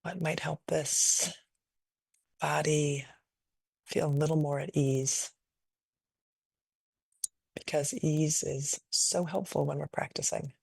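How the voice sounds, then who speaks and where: A woman leading a guided meditation in a gentle, unhurried, invitational way, improvising softly and leaving long pauses. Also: low voice, fairly even intonation, gravelly, slightly rough, no echo. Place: online video call session.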